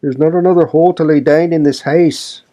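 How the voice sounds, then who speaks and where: A man's voice: fairly high pitch, steady tone, brisk, warm tone, pitched above the performer's own voice, natural voice. A man voicing a grumbling, pious old manservant who scolds in a broad rustic dialect. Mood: scolding. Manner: scolding, preachy.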